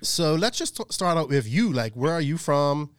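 A man hosts a chat podcast in a loose, rambling way, with plenty of fillers and restarts. His voice is smooth and clear at a middle pitch. The mic is close and dry. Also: big pitch swings, moderate pace, no room sound. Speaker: podcast host. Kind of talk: casual chat.

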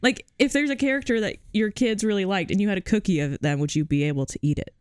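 A woman from the American Midwest co-hosting a casual podcast, joking and teasing quickly. Her voice is mid-pitched and sounds dry and close to the mic. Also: smooth, no echo. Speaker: podcast co-host. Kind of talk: casual chat.

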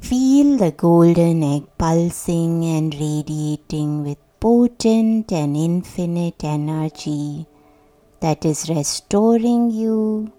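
Very slow, soft dark-voiced woman guiding meditation. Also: lowish voice. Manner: soothing, hushed, deliberate with long pauses. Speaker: meditation guide. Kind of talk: guided sleep meditation, scripted narration. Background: soft meditation music.

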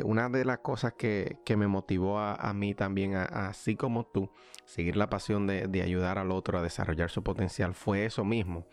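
A man's voice: on the low side, a little mellow. A man hosting a motivational podcast, talking in an encouraging, conversational way. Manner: encouraging, motivational, frequent restarts. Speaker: podcast host. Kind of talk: casual conversational interview.

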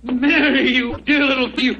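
Blustery loyal English gentleman, stammering, high-pitched, old radio drama, dull hissy recording. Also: fairly even intonation, moderate pace. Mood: amazed, fond. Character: Doctor Watson, flustered, loyal, easily amazed middle-aged English gentleman. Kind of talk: 1940s radio drama acting.

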